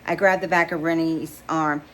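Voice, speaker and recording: A woman's voice: deep voice, fairly even intonation, moderate pace, a little hiss or hum. A woman reading romance fiction aloud as a first-person narrator, stumbling now and then and re-reading phrases. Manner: stumbles over words and re-reads phrases. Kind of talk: amateur read-along of romance fiction, first-person storytelling.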